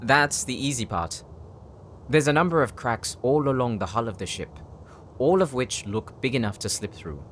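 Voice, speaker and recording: A man's voice: medium pitch, noisy background. A man playing a polite, articulate demon hunter who likes to show off in a fantasy audio drama. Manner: courteous, articulate, explanatory. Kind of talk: fantasy audio roleplay acting. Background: music.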